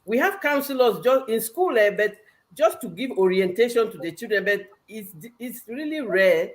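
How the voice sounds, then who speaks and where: A woman's voice: on the high side, lively intonation, moderate pace. A woman from Cameroon with a Cameroonian accent, explaining conversationally as a talk show guest, with emphatic repetition.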